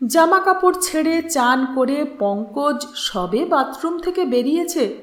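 Female audiobook narrator, very animated intonation, unhurried delivery, literary reading. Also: high-pitched. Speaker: channel host and story narrator. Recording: roomy, echoing voice recording.

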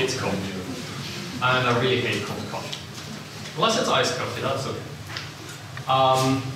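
A young man with a Swiss accent gives a relaxed, self-deprecating seminar talk, scattered with ums and uhs. He is picked up from a distance by a room camera. The lecture room rings with heavy echo, and a steady background noise runs under his voice. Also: mid-range voice. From Italian-speaking Switzerland. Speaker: seminar speaker. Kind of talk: technical lecture to a live audience. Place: lecture room with a projector screen. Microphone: far room camera, no visible mic.

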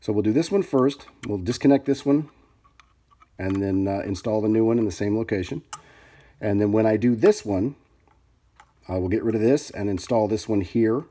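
Low-voiced man narrating hands-on repair work. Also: moderate pace, warm tone, slight background noise. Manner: unscripted, thinking aloud while working. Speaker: hobbyist repairer demonstrating his work. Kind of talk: casual hands-on tutorial narration. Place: indoors at a workbench.

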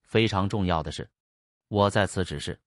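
A man's voice: deep voice, moderate pace, dry recording, clean studio sound, close to an everyday voice. A man dubbing a serious, authoritative speaker in a documentary. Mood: official, firm. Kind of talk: dubbed documentary speech.

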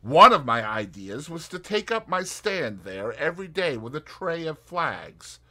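A man raises his voice into a brisk young woman detective, eager and emphatic. The pitch is lifted and leaps around with lively energy. Her tone is bright and crisp, as read aloud in an audiobook. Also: full character voice. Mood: determined. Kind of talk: audiobook character reading.

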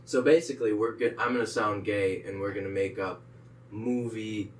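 A young man with a cold riffs and swears playfully. His low voice is caught from a distance in an echoey room with faint noise. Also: steady tone, normal speed. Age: young adult. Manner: playful, joking, swears freely. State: sick. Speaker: co-host. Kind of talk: improvised comedy chat. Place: small room with green screen. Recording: echoey room, mic far off.

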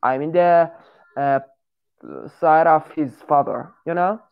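A man in his twenties from Iran chats slowly in halting English, filling his pauses with hesitations. His voice is smooth and clear. The audio is dull and lo-fi. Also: on the high side, steady tone. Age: 20s. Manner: halting, searching for English words with many fillers. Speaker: guest on a chat show. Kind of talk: casual chat.

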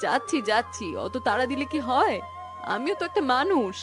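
A woman playing a caring, mildly scolding Bengali village wife and mother in a cartoon story, with a homely, expressive manner. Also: sits high, fairly quick, over music. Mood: homely, mildly chiding.

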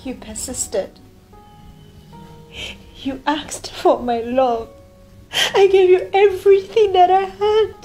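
A jilted, high-voiced woman. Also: a little mellow, exaggerated voice. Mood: hurt. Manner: bitter, accusing, explosive when angered. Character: jilted pregnant young woman, bitter and vengeful.

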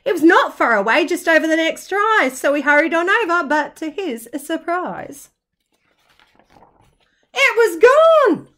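A woman reading a rhyming children's storybook aloud in an expressive, dramatic, sing-song way, like a teacher. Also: very high voice, unhurried. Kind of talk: children's storybook narration in rhyme.

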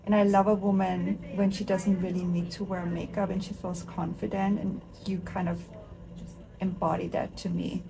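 A woman's voice: on the low side, little pitch movement, normal speed, gravelly, rough recording. A woman from Austria who is a makeup artist, chatting enthusiastically while she does someone's makeup in a living room. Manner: chatty, enthusiastic, talks while working with her hands. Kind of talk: casual chat while doing makeup.

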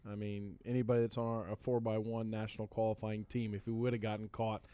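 A man's voice: on the low side, level tone, fast, mellow, slight background noise. A man, a head football coach, talking on a radio show with many fillers and restarts. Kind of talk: casual radio interview. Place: radio studio.

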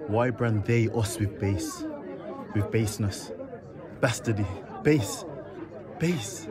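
A man's voice: on the low side, takes it slowly. Man acting a defiant bastard son, crowd behind. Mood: defiant, resentful. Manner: declamatory, indignant. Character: defiant, resentful bastard son scorning custom. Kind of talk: Jacobean stage soliloquy in audio drama. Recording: busy crowd chatter behind.

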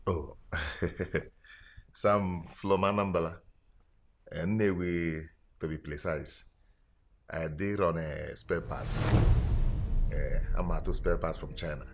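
An actor with a Nigerian Igbo accent playing an eager young trader who rambles, mixing Igbo and English. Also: male voice, low-pitched, fairly even intonation, slow pace, pauses often, a little hiss or hum. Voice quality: chatty, rambling. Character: young male spare-parts trader suitor, eager. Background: music.

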